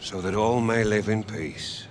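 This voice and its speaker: An actor playing a dignified, humbled king of the Britons, earnest and deliberate, in a game cinematic. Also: on the low side, a little hiss or hum, lightly acted. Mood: pleading, sincere. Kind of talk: video game cinematic acting. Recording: music behind.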